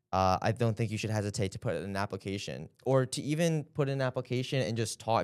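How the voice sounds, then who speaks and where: Young male guest, low smooth voice, earnest and halting, dry close mic. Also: no echo. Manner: earnest, hesitant, many fillers. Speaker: interview guest, former intern. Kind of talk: casual interview answers.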